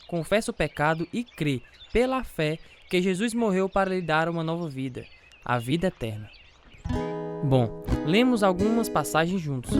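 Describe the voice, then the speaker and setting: A man acting as an earnest former boxer turned evangelist, narrating his life story in a scripted radio drama. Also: sits high. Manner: earnest, devout. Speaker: narrator and lead actor.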